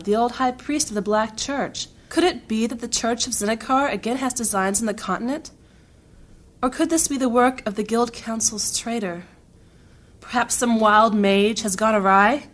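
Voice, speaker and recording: A woman playing a guarded, grave noble mage in a fantasy audio drama, speaking formally and deliberately. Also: medium pitch, lightly acted. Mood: brooding.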